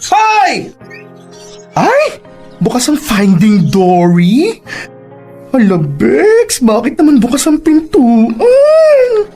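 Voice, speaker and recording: A woman plays a loud, flamboyant best friend in a radio drama who shrieks and teases nonstop. The voice sits very high and swoops wildly, with slow, drawn-out delivery. Faint music plays underneath. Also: wildly swooping pitch, exaggerated voice. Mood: shrieking, teasing. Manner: shrieking, squealing, teasing.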